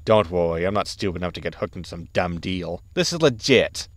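A man playing a weary, dry-witted spaceship captain in a sci-fi comedy audio drama, deadpan in manner. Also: sits low, a little fast, no echo. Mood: wry.

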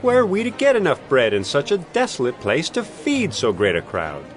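Casting: an urgent, exasperated follower in Bible drama, raised pitch, very animated. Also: male voice, moderate pace, faint music behind. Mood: incredulous. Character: impatient, exasperated male disciple.

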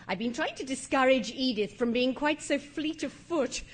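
A woman's voice: sits high, normal speed. An actress playing a poised, exacting upper-class English lady of the house in a drawing-room comedy, arch and precise. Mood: dry, arch. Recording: live theatre recording with faint noise.

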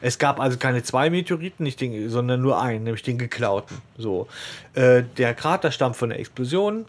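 A man talks casually as a podcast host. His voice sits at a middle pitch. He feels his way through a retelling, often halting and rewording. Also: lively intonation. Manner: halting, rephrasing as he goes. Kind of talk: casual discussion retelling a story.

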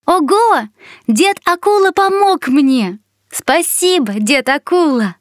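A woman's voice: high-pitched, animated, takes it slowly, no room sound, clean studio sound. A woman voicing a bubbly, curious little fox hero in a children's cartoon. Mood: excited. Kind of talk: children's cartoon narration and dialogue. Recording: music behind the voice.

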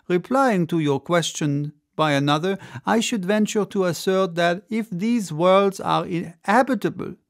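A man voicing a bold, grandiloquent orator addressing a crowd in an emphatic, declamatory manner. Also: sits high. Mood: courteous.

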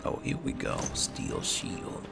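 A man with a gravelly voice giving casual, low-key commentary while playing a fantasy game, musing aloud as he goes. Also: takes it slowly, loud music behind the voice. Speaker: gaming host. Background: game ambience.

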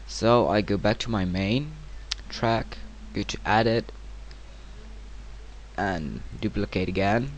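A man narrating a casual software tutorial in hesitant, informal, non-native-sounding English. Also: fairly low pitch, takes it slowly, long pauses, highs cut off. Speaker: tutorial presenter. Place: home computer screen-recording voice-over. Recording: clear steady background noise.

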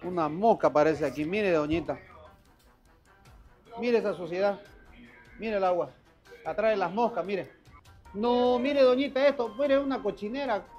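A man's voice: high-pitched, measured pace, long pauses, darkish tone, muffled recording. A man inspecting a food stall kitchen scolds the owner insistently, repeating his commands over and over. He is heard through a news clip with faint music playing beneath his voice. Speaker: health inspector.

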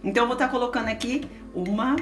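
Home cook, middle-aged woman, higher voice, encouraging and chatty; distant camera, echoey kitchen, music underneath. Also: fast talker, a bit bright. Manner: chatty, patient, coaxing and encouraging. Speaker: cooking show host and aunt. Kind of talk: casual cooking demonstration with a child. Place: small home kitchen, indoors. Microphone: camera held by a helper a few feet away.

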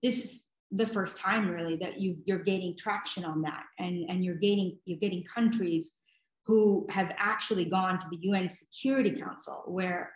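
A woman's voice: lowish voice, steady tone, moderate pace. A middle-aged woman answering interview questions thoughtfully, searching for words and repeating them as she goes, on a video call. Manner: hesitant phrasing, repeats words while thinking. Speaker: guest speaker, nonprofit director. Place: home room, indoors. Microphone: video call computer mic. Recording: roomy echo on video call.

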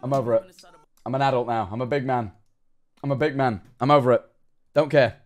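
A man's voice: mid-range voice, brisk, soft background music. A young man, reading viewer messages aloud on a livestream with sarcasm and a lot of swearing. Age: young adult. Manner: animated, sarcastic, swears often. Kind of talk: reading and reacting to chat. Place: small bedroom streaming room. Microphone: headset-style studio mic, seated at desk.

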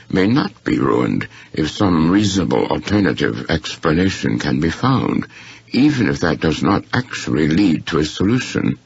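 Deep, gravelly, courteous shrewd gentleman, as a male narrator plays him. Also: no echo, highs cut off, exaggerated voice. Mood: calm, reasoning. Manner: formal, deliberate, precise.

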